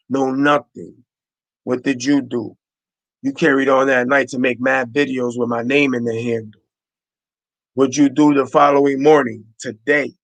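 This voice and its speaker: A man's voice: a little high, fairly bright tone, no room sound. Indignant man ranting on stream. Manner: indignant, repetitive, rhetorical questions, slangy. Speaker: YouTube commentary host. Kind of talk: heated livestream callout rant.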